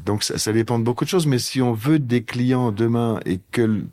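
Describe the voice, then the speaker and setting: A man answering as an interview guest, explaining in a measured way. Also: mid-range voice, darkish tone. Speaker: business guest. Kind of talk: conversational interview.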